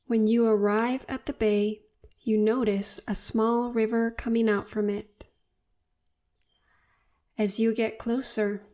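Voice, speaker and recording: A woman narrating a guided meditation in a soothing, unhurried and deliberate manner. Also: a little high, warm tone.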